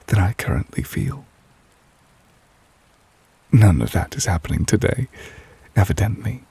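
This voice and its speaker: Man voicing devoted romantic witch lover, ASMR roleplay, lowish gentle voice, creaky edges, soft, wistful, possessive, close-miked. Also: dry recording, full character voice. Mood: wistful, tender. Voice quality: soft, breathy, husky. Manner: intimate, measured, gentle, wistful. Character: devoted, tender, possessive male witch lover, softly proposing. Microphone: close, dry microphone.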